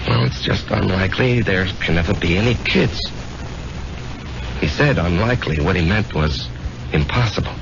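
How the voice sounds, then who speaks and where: An actor with an American accent playing a weary, earnest salesman husband of about thirty in a radio drama, speaking haltingly. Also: low-pitched, creaky. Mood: ashamed. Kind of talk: 1970s radio drama acting. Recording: muffled vintage radio broadcast, music behind.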